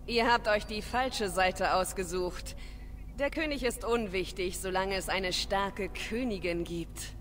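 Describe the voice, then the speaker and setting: An actress voicing a haughty, ruthless crime boss in a fantasy video game, imperious and threatening. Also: female voice, medium pitch, a little slow, a little hiss or hum, close to an everyday voice. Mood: haughty scorn.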